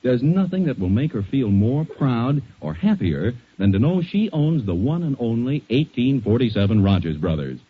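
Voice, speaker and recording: A man's voice: mid-range voice, big pitch swings, normal speed, few pauses, husky. A man with an American accent announcing in polished, persuasive 1940s radio style, as scripted narration and advertising. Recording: muffled 1940s radio recording.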